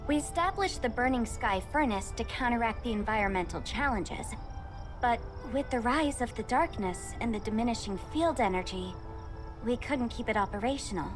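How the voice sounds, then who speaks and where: A woman voices a polite, helpful scholar in a video game. Her voice is somewhat high, and she explains in a formal, orderly way. Faint music plays behind her. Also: animated, moderate pace, close to an everyday voice. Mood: solemn explaining. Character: polite, studious female researcher, formal and helpful. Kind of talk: scripted video game voice acting.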